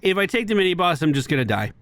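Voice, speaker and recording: A man's voice: sits high, very animated, racing, fairly bright tone. A man giving casual running commentary as he plays a video game, talking to himself with exasperated exclamations and swearing. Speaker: gaming video creator.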